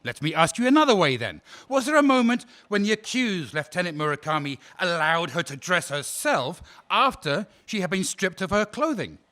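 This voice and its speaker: A man's voice: high-pitched, sing-song, moderate pace, hardly any pauses, bright, forceful tone, full character voice. A man playing a prosecuting officer at a war crimes trial, cross-examining a witness in a probing, sarcastic and formal way. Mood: cold. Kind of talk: audio drama acting.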